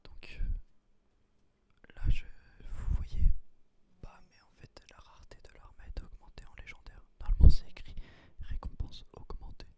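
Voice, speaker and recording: A man whispering ASMR gameplay commentary in a breathy voice, explaining things casually with slang. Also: normal speed. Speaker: gaming video creator.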